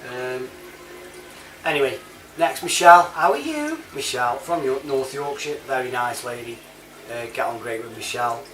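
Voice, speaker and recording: A man's voice: medium pitch, animated, normal speed, a bit bright. British man, casual matey monologue, informal slang, roomy echo, distant from the mic. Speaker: online reviewer and CB chat app user. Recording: roomy, distant mic, clear background noise.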